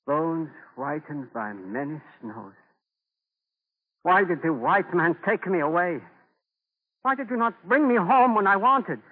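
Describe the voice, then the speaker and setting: Solemn, homesick Native man, old radio. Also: medium pitch. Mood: anguished grief. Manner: solemn, simple formal phrasing. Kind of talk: 1940s radio drama acting. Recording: thin, narrow-band 1940s radio broadcast.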